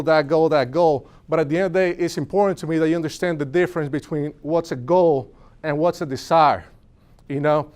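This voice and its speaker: Young Puerto Rican man, a speaker at a business event with a slightly higher pitch, earnest and storytelling. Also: clean tone. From Puerto Rico. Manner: earnest storytelling, asks the audience questions. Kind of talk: motivational talk to a live audience.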